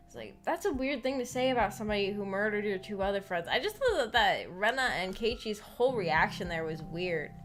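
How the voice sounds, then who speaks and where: A woman in a casual, rambling discussion as a streamer, animated and giggly, often hesitantly thinking aloud. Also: medium pitch, a little fast, few pauses. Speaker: streamer hosting a visual novel book club discussion. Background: background music.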